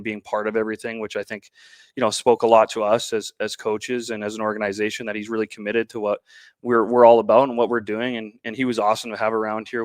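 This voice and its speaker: A man with a Canadian accent giving conversational interview answers as a baseball coach, pausing often as he talks. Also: lowish voice, smooth, no echo.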